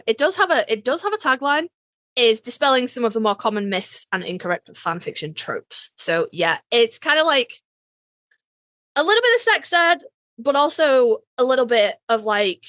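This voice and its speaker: A woman's voice: medium pitch, fairly bright tone, no echo. A woman co-hosting a podcast in casual chat, speaking in an emphatic, informal and playfully blunt way. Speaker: podcast co-host.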